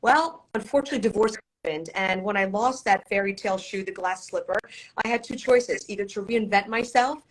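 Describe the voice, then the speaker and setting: A confident middle-aged woman from Poland, Polish her first language, talking as a guest on a live stream. She tells her story with conviction, tossing in rhetorical questions, in a voice at an ordinary middle pitch. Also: a little fast, low-quality recording. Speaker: matrimonial attorney, guest.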